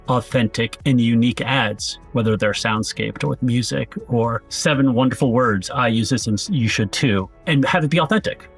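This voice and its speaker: A man's voice: mid-range voice, creaky, breathy edge. A man speaking as a podcast guest, giving conversational advice.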